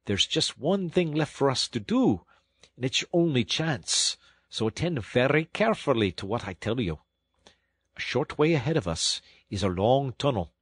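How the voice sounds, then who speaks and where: A man voicing a stern but kindly train engine driver who speaks in a firm, plain way, in a husky voice. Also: medium pitch, dry recording. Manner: steady, firm and plain-spoken. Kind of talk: audiobook storytelling.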